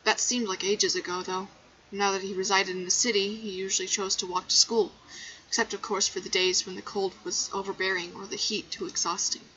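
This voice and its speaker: Young female game streamer: goofy casual chatter, bright and sharp, slightly lo-fi bedroom mic. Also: mid-range voice, level tone, a little fast, slight background noise. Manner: playful, goofy, breaks into song. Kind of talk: casual livestream chat.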